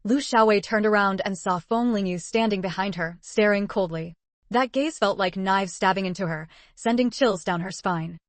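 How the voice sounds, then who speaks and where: Measured woman narrating a novel. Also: mid-range voice, no room sound. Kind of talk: scripted audiobook narration.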